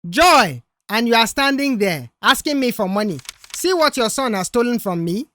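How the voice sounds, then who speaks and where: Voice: medium pitch, very animated, normal speed, pushed and bright, pitched above the performer's own voice. Narrator putting on an angry, indignant boss man. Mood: indignant anger. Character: Tom, angry sputtering male boss, indignant.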